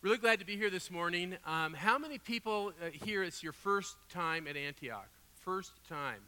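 A man preaching as a guest pastor, his voice raspy from a cold, telling stories in an animated, conversational way. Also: sits high, normal speed. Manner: animated, conversational storytelling with asides. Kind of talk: sermon. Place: church sanctuary. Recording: long hall reverb.

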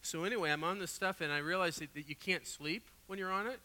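Guest preacher, man, raspy from a cold, bright forceful delivery, long church-hall reverb. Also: high-pitched, fast talker. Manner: animated, conversational storytelling with asides. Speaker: guest pastor. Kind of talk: sermon. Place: church sanctuary.

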